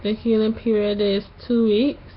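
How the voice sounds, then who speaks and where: A young woman vlogging just after tonsil surgery, a little nasal, talking slowly through a sore throat with many pauses. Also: medium pitch, little pitch movement, muffled recording, a little hiss or hum. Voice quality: slightly nasal. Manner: slow, halting, pauses often. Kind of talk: casual vlog to camera.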